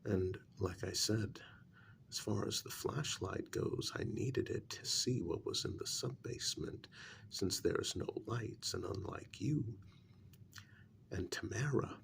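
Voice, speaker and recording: A man playing a calm, blunt human familiar to a vampire queen in a soft, half-whispered ASMR role-play, speaking formally and deliberately. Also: lowish voice, gravelly, slight background noise. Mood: frank, measured.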